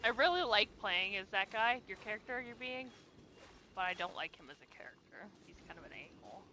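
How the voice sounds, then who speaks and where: A woman's voice: medium pitch, big pitch swings, a little fast, sharp tone, slight background noise, poor audio. A woman streaming a video game, chatting offhand with viewers and swearing casually as she plays. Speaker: gaming streamer. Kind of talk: casual live-stream chat while playing.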